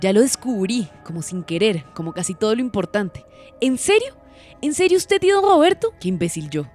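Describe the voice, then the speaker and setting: A woman's voice: medium pitch, big pitch swings, moderate pace, darkish tone, natural voice. An actress playing a furious, imperious woman in an audio drama, accusing with vehement sarcasm. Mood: furious, betrayed. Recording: music underneath. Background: music.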